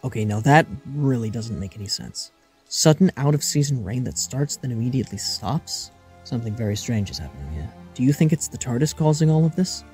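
A man's voice: mid-range voice, expressive, fairly quick, a little mellow, soft background music, lightly acted. A man performing earnest, alarmed adventurers in a fan-made audio drama, with dramatic, emotive delivery. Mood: puzzled, serious. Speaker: voice actor performing all parts.

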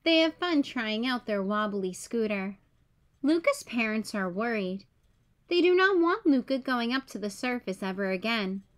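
Lady with a high voice doing a kids read-aloud, expressive storybook style. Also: normal speed. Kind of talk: children's picture book read-aloud.

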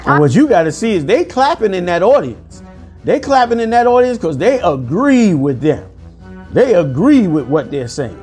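A forceful, sermonizing man in his forties delivers commentary from a room on a lapel mic. His voice sits a little high for a man and keeps pressing its points. Soft music plays faintly underneath. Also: very animated, moderate pace, slightly rough. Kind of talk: preaching commentary over news clips. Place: indoor room.

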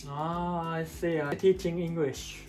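A young Japanese man in his twenties, speaking slow, hesitant learner English with a Japanese accent and repeating words as he searches for them. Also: on the high side, level tone, music in the background. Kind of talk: halting learner English, then casual video outro. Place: small indoor room at a table.

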